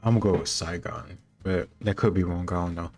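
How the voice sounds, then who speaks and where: A man with a very deep voice talks casually as a reaction video host. His intonation stays quite flat as he reasons aloud and shares opinions in an unscripted way. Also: a little fast, faint music behind. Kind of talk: casual off-the-cuff commentary.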